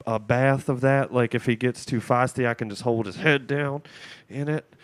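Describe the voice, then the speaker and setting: Prank-call role: fed-up, scheming wife acted by a man, deadpan and morbid, mid-pitched for a male voice, very dry sound. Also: normal speed, no room sound, close to an everyday voice.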